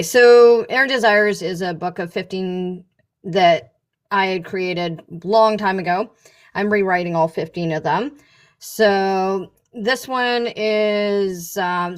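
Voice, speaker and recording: A woman, an author and panel guest, presenting informally and partly reading aloud, halting and stumbling over words. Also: medium pitch.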